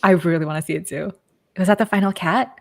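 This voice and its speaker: A woman's voice: lowish voice, creaky. A young woman from Canada hosting a casual livestream from home over a headset, upbeat and chatty. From Canada, Montreal. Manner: upbeat, chatty, quick bursts. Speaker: livestream host. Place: home room.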